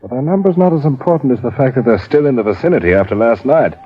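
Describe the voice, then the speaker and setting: Breezy colonial Englishman of thirty, quick animated speech, stiff understatement, muffled old radio drama. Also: mid-range voice, husky. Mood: brisk, unruffled. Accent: British. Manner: jaunty, understated. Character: breezy British estate manager around thirty. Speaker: supporting actor.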